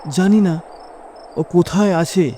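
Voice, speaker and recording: A man's voice: high voice, slow pace, mellow, slight background noise. A man narrating a scripted first-person horror story in a suspenseful, dramatic delivery. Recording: music behind the voice.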